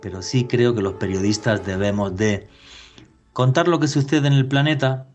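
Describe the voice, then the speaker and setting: A man's voice: mid-range voice, moderate pace, a little mellow, faint music behind. A man hosting a radio podcast, speaking in an earnest, opinionated and expansive way as he introduces the episode and his guest. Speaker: radio host.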